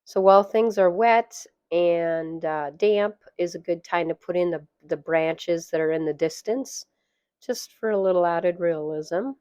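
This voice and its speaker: Hoarse lady talking thru her painting, low-ish voice, chatty, dead dry sound. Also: measured pace, no room sound. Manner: soft-spoken, chatty, with fillers and light laughs. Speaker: watercolor painting instructor. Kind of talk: relaxed voice-over tutorial.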